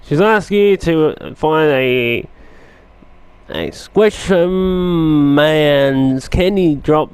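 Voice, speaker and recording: A man's voice: a little high, drawn-out words, a little mellow, smooth. A man hosting a gaming video with casual, mumbling, meandering commentary as he plays.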